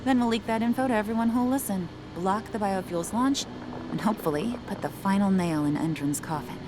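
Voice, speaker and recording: An actress playing an earnest, determined rebel infiltrator, speaking seriously and purposefully in a video game cutscene. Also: female voice, medium pitch, brisk, noisy background, close to an everyday voice.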